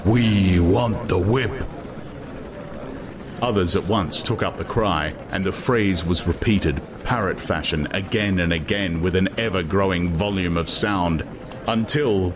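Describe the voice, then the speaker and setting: Low-voiced man narrating a dramatic audiobook. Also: unhurried, background noise. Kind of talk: dramatic scripted literary narration.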